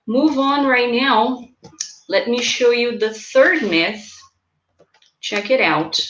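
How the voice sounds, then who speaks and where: Woman, unhurried, encouraging webinar presenter, echoey room sound. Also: mid-range voice, fairly bright tone, slight background noise. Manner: measured, encouraging, thinks aloud with fillers. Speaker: webinar presenter, pronunciation teacher trainer. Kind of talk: live webinar teaching, reading chat comments. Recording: roomy echo.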